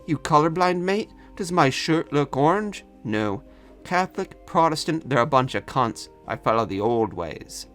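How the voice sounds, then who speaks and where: A man voicing a gruff, scornful, foul-mouthed Irishman with a slight brogue in a narrated story. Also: fairly high pitch, very animated, a little slow, a bit bright, faint music behind, pitched above the performer's own voice. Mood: irritated, contemptuous. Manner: gruff, scoffing, cursing.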